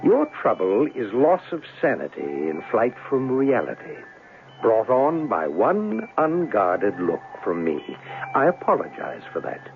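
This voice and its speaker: An actor playing a suave, eccentric warlock in a 1970s radio drama, courteous and sly, with ornate theatrical phrasing. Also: male voice, lowish voice, wildly swooping pitch, measured pace, creaky, heavily acted. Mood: sly, soothing. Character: suave, eccentric male warlock and witch doctor. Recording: lo-fi, noisy old radio broadcast.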